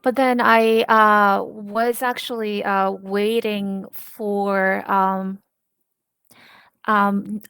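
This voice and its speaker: A woman interpreting a personal testimony live, speaking haltingly and building sentences from short phrases. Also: mid-range voice, flat delivery, fairly bright tone, clear, resonant tone, no room sound, studio quality.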